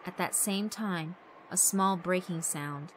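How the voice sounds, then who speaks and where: A woman's voice: on the low side, slow, a little hiss or hum, low-quality recording. A woman narrator reading a scripted story in a dramatic storytelling style. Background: background music.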